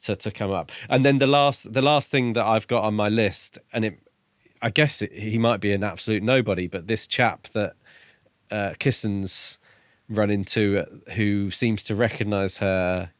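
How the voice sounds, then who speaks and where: A man with a fairly low voice talks casually as a podcast co-host. He sounds tentative, working out his thoughts as he speaks. The recording is dry, without room echo. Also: steady tone, moderate pace, smooth. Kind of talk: casual book discussion chat.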